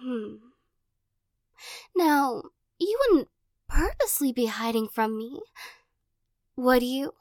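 A young woman voicing a possessive, teasing girl who corners the listener in an audio roleplay, giggling and coaxing, often dropping into soft whispers. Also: sits high, very animated, takes it slowly, long pauses, no echo, studio quality, heavily acted.

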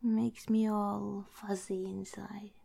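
A woman speaks in a breathy, intimate whisper, as in a romantic ASMR roleplay. Her delivery is very slow and halting, with little movement in pitch. The tone is soft, dark and affectionate. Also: level tone.

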